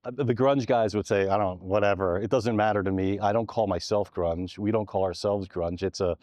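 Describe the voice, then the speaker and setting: A man answering interview questions thoughtfully, pausing often with ums. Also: on the low side, fairly even intonation, darkish tone, no echo, studio quality.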